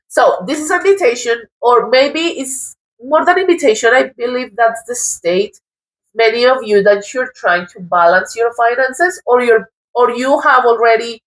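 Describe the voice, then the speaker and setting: A woman's voice: on the high side, fairly bright tone, rough recording. Colombian woman reading tarot cards. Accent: Colombian Spanish. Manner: thoughtful, halting, often restarts words. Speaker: tarot reader.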